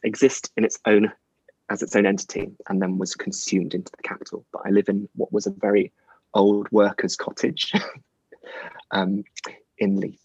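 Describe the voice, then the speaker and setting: A man speaking gently from his home over a video call, relaxed and softly spoken. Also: lowish voice, normal speed, husky, no echo. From Scotland. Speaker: artist and guest. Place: small room at home. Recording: dull lo-fi video call audio.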